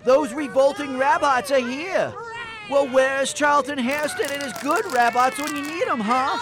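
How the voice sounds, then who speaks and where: Man playing a very high, whiny rabbit celebrity. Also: unhurried, noisy background. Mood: panicked. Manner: self-important, scolding. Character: smug, whiny celebrity rabbit activist pundit. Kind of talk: spoof public service announcement.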